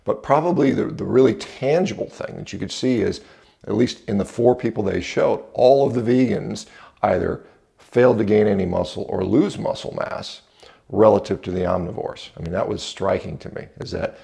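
A man's voice: lowish voice, normal speed, creaky, warm tone. A middle-aged American man in his fifties giving blunt, dismissive, off-the-cuff commentary to camera in a conversational way. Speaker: video reviewer and commentator. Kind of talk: unscripted opinion commentary to camera. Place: indoors, home entrance hall. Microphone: small clip-on lapel mic.

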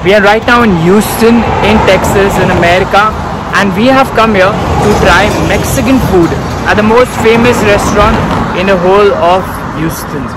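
A man's voice: high-pitched, normal speed. A man from India hosting a casual food vlog in a busy restaurant, chatting loosely with fillers as he shows each dish. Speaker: food vlogger. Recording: loud music and crowd noise. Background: loud music and crowd chatter.

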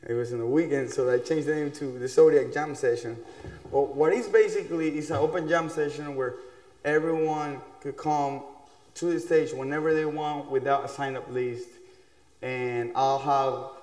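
Ecuador-born man talking in an echoey room. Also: mid-range voice. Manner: relaxed, loose and chatty, with fillers. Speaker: musician. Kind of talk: casual interview talk. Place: small dark performance room, indoors. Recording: echoey room with long reverb.

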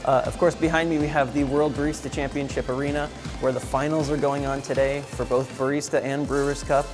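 A man's voice: medium pitch, flat delivery, a little fast, music in the background. A young man hosting a trade show walkthrough, talking casually and upbeat with a lot of fillers, in a large convention hall. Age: young adult. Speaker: coffee equipment show host.

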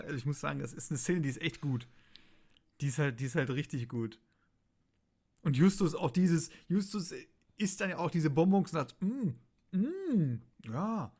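A man with a fairly high voice talks casually as part of a podcast panel. He sounds jovial and informal, with a loose, unscripted flow. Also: a little mellow. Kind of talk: casual chat.

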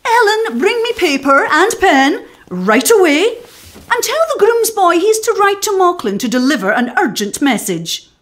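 A woman's voice: extremely high pitch, very animated, a bit bright, full character voice. A woman playing a proud, strong-minded, aristocratic middle-aged widow with an imperious manner in a radio play. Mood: moved, excited. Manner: imperious, declamatory, deliberate. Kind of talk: radio drama acting.

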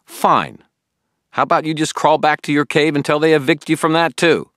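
An earnest young guy, acted out by a male narrator in an audiobook, pitched up from a deep reading voice. He is blunt and pushy, like a worried friend who has had enough. The sound is close and dry. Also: steady tone, moderate pace, a bit bright, no echo, close to an everyday voice. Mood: exasperated, heated. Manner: emphatic, blunt, informal.

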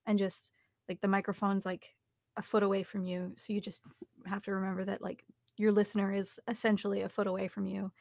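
A woman's voice: mid-range voice, flat delivery, a little fast, vocal fry, a little mellow, no echo, clean studio sound. A young adult woman, talking casually and reflectively as an interview guest, thinking aloud as she goes. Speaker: interview guest, audiobook narrator and voice actor. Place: home studio, indoors.

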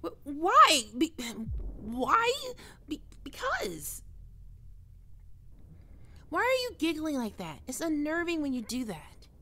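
A woman's voice: sits high, big pitch swings, slow, pauses often, pushed and bright, full character voice. A woman playing a skittish, defensive young catgirl roommate in an ASMR roleplay, stammering and prickly to hide her fear. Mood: prickly, flustered.

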